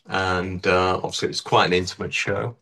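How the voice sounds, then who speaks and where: A man with a husky voice from an allergy, chatting casually in an interview about touring with his band. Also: low voice, normal speed. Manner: relaxed, rambling with restarts. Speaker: touring band musician, guest.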